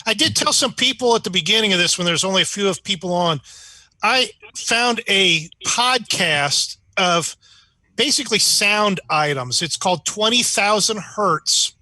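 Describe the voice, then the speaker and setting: A man's voice: high voice, lively intonation, normal speed, pushed and bright, no room sound, poor audio. A man chatting casually as a club member at an online meeting, speaking into a video call microphone. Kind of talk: casual chat.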